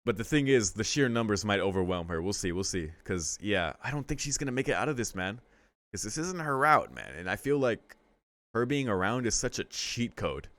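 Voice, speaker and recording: A man's voice: lowish voice, sing-song, fairly quick, fairly bright tone, no echo. A young man reading a visual novel aloud and reacting in a loose, slangy way. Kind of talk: casual reaction commentary.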